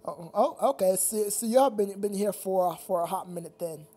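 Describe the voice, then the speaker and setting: Casual livestream host, medium-pitched voice, halting and repetitive delivery, talking directly to chat. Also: warm tone, slightly rough. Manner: halting, repetitive, addresses viewers as sir.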